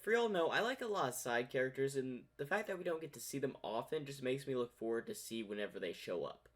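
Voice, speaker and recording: A man's voice: medium pitch, animated, quick pace. Casual man reacting to a fan dub. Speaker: reaction video host.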